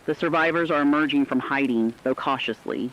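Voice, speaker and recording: A man's voice: a little high, fairly quick, fairly bright tone, muffled recording, a little hiss or hum. A man narrating a scripted emergency radio broadcast as a newscaster, with grave, solemn delivery. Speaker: radio newscaster in a horror story.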